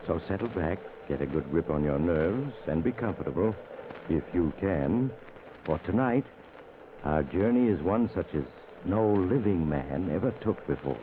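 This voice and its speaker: An American man playing a sinister yet genial host of eerie tales in a 1940s radio drama, speaking deliberately with macabre wit. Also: low-pitched, expressive, narrow, tinny audio. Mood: ominous, inviting. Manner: deliberate, ominous, wryly macabre. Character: the Mysterious Traveler, sinister genial male storyteller of eerie tales. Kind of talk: 1940s radio suspense narration. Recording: noisy, thin vintage radio recording.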